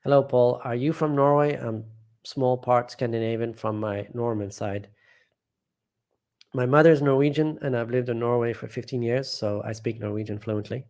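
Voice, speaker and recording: A man's voice: medium pitch, steady tone, moderate pace, darkish tone. A man hosting a casual live stream from home, reading viewer comments aloud and rambling, often trailing off and restarting.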